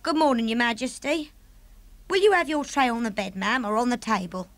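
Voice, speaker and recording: A woman's voice: a little high, no room sound, pitched above the performer's own voice, lightly acted. A woman reading aloud and voicing a nervous, deferential middle-aged maid who frets and stays respectful. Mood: soothing. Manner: flustered, respectful, quick to fret.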